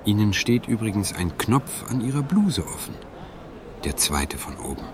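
A man's voice: lowish voice, very animated, normal speed, noisy background. An actor playing a lonely, awkward man in an audio drama, hesitant and self-justifying as he defends himself. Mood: defensive. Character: defensive, lonely male dream-therapy client, awkward romantic. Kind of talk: German audio drama dialogue.

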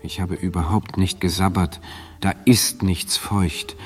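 Actor as a low-voiced, defensive lonely man. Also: steady tone, unhurried, faint music behind. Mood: sheepish, defensive. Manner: hesitant, self-justifying. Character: defensive, lonely male dream-therapy client, awkward romantic. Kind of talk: German audio drama dialogue.

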